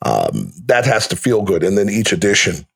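A man's voice: on the low side, wildly swooping pitch, normal speed, vocal fry, slightly rough. A man in his fifties, a guest on a podcast, talking in a laid-back, storytelling way and laughing easily. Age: 50s. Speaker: guest, radio play-by-play announcer. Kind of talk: casual podcast conversation.

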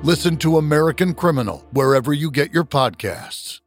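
A man's voice: on the high side, lively intonation, fairly quick. A man reading a scripted true-crime podcast promo as narrator. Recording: music bed under the voice.

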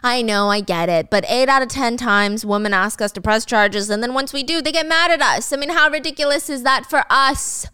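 A woman's voice: fairly high pitch, brisk, hardly any pauses, bright, forceful tone, clear, resonant tone. A woman voicing a weary, dismissive police officer with a patronizing manner in a story retelling.

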